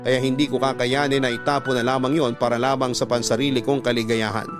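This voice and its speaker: Radio drama narrator: Filipino man, medium pitch, sorrowful scripted storytelling over strong background music. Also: level tone, fast talker. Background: music bed.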